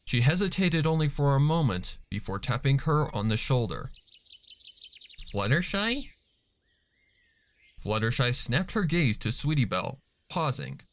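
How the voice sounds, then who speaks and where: A man's voice: mid-range voice, sing-song, moderate pace, hardly any pauses, a little hiss or hum. A man narrating a piece of fan fiction as a dramatic scripted reading. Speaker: story narrator. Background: faint music.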